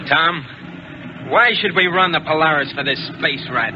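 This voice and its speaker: A man's voice: on the high side, normal speed, close to an everyday voice. A man playing a cocky, wisecracking, hot-headed young space cadet in a 1950s radio serial. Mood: defiant. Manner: cocky, slangy. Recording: muffled, noisy 1950s radio recording.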